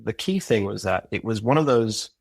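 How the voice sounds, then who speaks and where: A man's voice: lowish voice, animated, moderate pace, creaky, no room sound, clean studio sound. A man talking as a podcast guest about creating an audio drama, thoughtful and earnest. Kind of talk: reflective interview talk.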